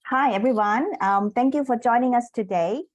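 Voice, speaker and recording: Woman speaking formally. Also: fairly high pitch. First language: Thai. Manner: measured and formal, with small repeats. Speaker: university lecturer. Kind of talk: formal acknowledgement of country. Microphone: video call.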